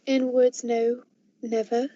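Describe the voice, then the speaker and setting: A woman playing a gentle, sorrowful young fiancée in an amateur radio drama. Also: fairly high pitch, flat delivery, slow, no echo. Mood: sad, resigned. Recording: dull lo-fi amateur recording.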